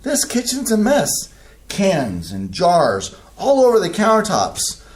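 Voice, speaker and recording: An actor doing a grumpy middle-aged husband who complains with folksy oaths. His pitch jumps up and down a lot as he grouses. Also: wildly swooping pitch, slow, roomy sound, heavily acted. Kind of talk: staged play reading.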